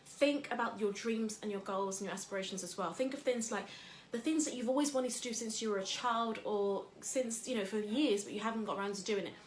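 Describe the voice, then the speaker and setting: A woman's voice: mid-range voice, brisk, few pauses, reverberant, slight background noise, low-quality recording. A woman from England hosting a casual motivational talk. She talks in a chatty, rambling way with lots of fillers. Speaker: host.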